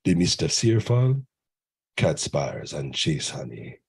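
Storybook fantasy narrator, acted by a man: extremely low, very husky, mystical, measured. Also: very animated, exaggerated voice.

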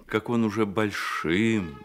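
A man narrating a radio drama as scripted literary storytelling, in a measured manner. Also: lowish voice, faint music behind.

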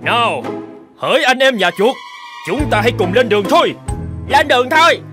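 A man's voice: extremely high pitch, animated, moderate pace, over music. A man voicing a vain, boastful mouse leader in a cartoon, pompous and self-important. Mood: boastful, rallying. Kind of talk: cartoon dubbing.

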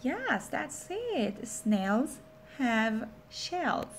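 Slow, lively high-voiced woman teacher. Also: very noisy. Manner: patient, prompting and repeating simple phrases. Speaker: reading teacher. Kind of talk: read-aloud lesson with a young child.

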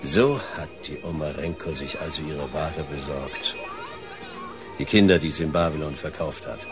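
An actor playing a world-weary hard-boiled detective in a radio drama, laconic and deadpan. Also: male voice, rumbling low, moderate pace, music in the background, crowd noise. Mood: dry. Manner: laconic, deadpan, clipped sentences. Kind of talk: German radio drama narration and dialogue.

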